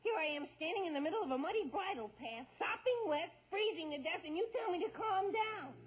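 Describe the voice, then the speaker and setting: A man's voice: extremely high pitch, animated, fast talker. An actor playing a whiny, sarcastic, griping teenage boy in a 1940s radio comedy. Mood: aggrieved. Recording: muffled, tinny 1940s radio recording.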